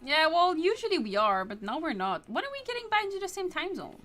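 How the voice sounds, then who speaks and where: A woman artist chatting casually on a stream, bubbly and often laughing, trailing off quietly at times. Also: very high-pitched, very animated, quick pace, faint music behind. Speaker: artist and YouTuber, co-host. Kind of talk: casual chat on a livestream.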